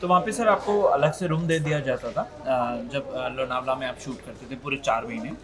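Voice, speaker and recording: A man's voice: medium pitch, normal speed, crowd noise. A man with an Indian accent asking conversational interview questions in a deferential, somewhat hesitant way.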